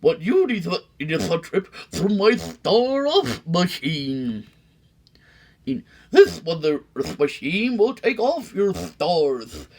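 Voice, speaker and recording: A man voicing a cartoon cat salesman, a smooth swindler with a loud, lisping, slurred voice and showy, wheedling patter. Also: sits high, big pitch swings, slow pace, voice pushed up, full character voice. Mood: slick persuasion.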